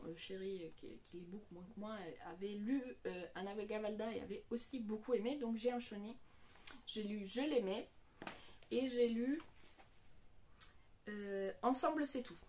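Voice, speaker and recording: Woman, about 40, non-native speaker, casual book vlog, middle pitch, hesitant, frequent fillers. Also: dark tone. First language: not French. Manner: hesitant, many fillers and restarts. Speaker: book vlogger. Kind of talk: casual book talk to camera.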